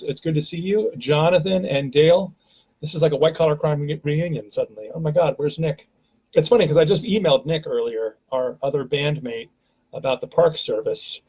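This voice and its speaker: A man's voice: on the high side, dark tone, slightly rough, low-quality recording. A man hosting a live stream, talking casually and rambling with many fillers and restarts, from a home webcam.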